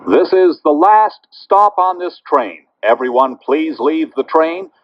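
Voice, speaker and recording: A man's voice: on the high side, expressive, slow, dry recording. Guy doing formal subway announcements, takes his time, voice moves around a lot, dull lo-fi sound. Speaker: automated subway train announcer. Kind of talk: pre-recorded transit announcements. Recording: dull lo-fi train announcement recording.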